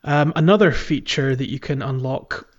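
A man's voice: medium pitch, moderate pace, lo-fi sound. A man hosting a casual video game podcast, chatting informally and explaining things. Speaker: podcast host.

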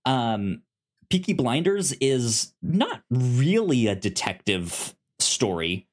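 A man chatting as a podcast co-host in a mid-pitched voice. He talks slowly and haltingly, with a pronounced stutter on sounds and syllables. His manner is agreeable and casual. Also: very animated.

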